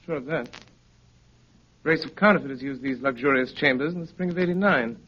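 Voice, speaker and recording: An actor playing an urbane, sharp-witted gentleman detective in 1930s radio style, ironic and wry. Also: mid-range voice, lightly acted. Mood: teasing. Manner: brisk, ironic, elegantly wry. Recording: muffled 1930s radio recording.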